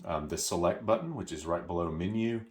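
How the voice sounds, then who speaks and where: A man's voice: low-pitched, fairly quick. A man presenting an unscripted step-by-step product walkthrough, explaining in a hesitant way and correcting himself as he goes.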